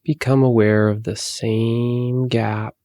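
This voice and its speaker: A man leading a guided meditation, giving calm, soothing, unhurried instructions with long pauses. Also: mid-range voice, no room sound, clean studio sound.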